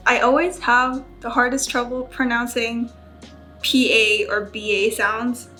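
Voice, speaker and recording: Female study vlogger, very unhurried delivery, casual and careful with pronunciation, light music underneath. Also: on the high side, level tone, bright, forceful tone. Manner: self-conscious, careful when pronouncing foreign words. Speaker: study vlogger and Japanese learner. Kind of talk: casual study-with-me chat, sounding out vocabulary.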